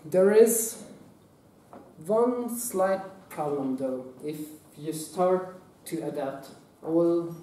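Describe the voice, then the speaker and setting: A man walks a conference audience through a hands-on workshop. His voice is somewhat high and mellow, and his delivery stops and restarts around fillers. He is heard from some distance in an echoey room. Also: animated, a little hiss or hum. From Hungary. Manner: halting, many fillers and restarts. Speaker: workshop presenter. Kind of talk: conference talk.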